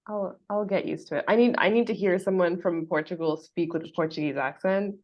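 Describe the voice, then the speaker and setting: A woman's voice: fairly low pitch, fairly quick, dull audio. A woman with a West Coast American accent hosting a podcast, chatting casually with lots of fillers and frequent laughter. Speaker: podcast host. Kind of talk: casual chat.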